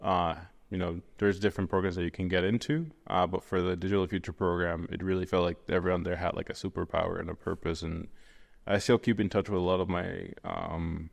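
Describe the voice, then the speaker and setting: Young guy from venezuela, rambly with lots of ums, very low, reverby room. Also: level tone, a little fast, gravelly. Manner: rambling, many fillers and restarts. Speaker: guest, 3D artist. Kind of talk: casual interview chat.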